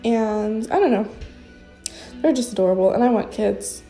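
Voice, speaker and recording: Stuffy-nosed young woman, gravelly and mellow. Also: fairly high pitch, normal speed, soft background music. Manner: rambling, spontaneous, heavy with fillers. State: congested, stuffy nose. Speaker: recovery sponsor sharing experience. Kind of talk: casual unscripted vlog talk.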